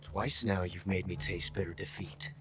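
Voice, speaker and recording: An actor playing a cocky young gunslinger soldier in a video game, proud, theatrical and taunting. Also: male voice, low-pitched, steady tone, normal speed, loud music behind the voice. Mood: smug taunting. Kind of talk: video game cutscene acting.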